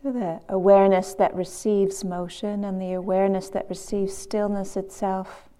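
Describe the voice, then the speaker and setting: A woman teaching guided meditation, gently and in measured phrases with long pauses. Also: medium pitch, flat delivery.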